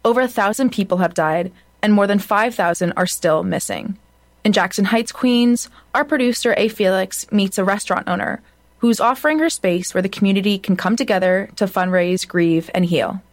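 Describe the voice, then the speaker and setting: Smooth female news host, dry studio sound. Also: medium pitch, moderate pace, dry recording. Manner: smooth scripted intro. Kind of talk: scripted news introduction.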